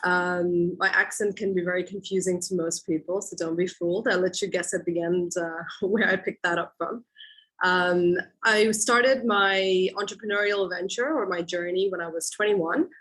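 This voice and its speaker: A woman's voice: fairly low pitch, fairly even intonation, normal speed, fairly bright tone, slightly rough, rough recording. A woman from Qatar introducing herself informally as a guest on an online panel.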